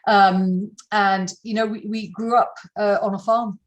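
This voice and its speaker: A woman's voice: medium pitch, flat delivery, measured pace. Candid middle-aged woman from Malawi. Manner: emphatic, repeats words when stressing a point. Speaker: interview guest, entrepreneur. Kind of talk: candid personal interview.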